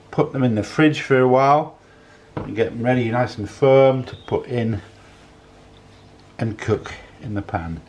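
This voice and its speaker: A man in his sixties giving a casual, unhurried cooking demonstration in a home kitchen, often repeating his phrases as he goes. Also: medium pitch. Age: 60s. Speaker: home cook, presenter. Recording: clattering dishes, clicks, kitchen noise.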